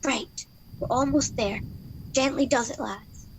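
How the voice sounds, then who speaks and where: Woman playing pompous cowardly army leader, high pitch, radio comedy, faint background noise. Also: unhurried. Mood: pompous, hushed.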